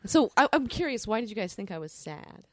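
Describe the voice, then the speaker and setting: A woman's voice: on the high side, very animated, fairly quick, dry recording, studio quality. A woman chatting casually as a podcast storyteller, understated and a little hesitant.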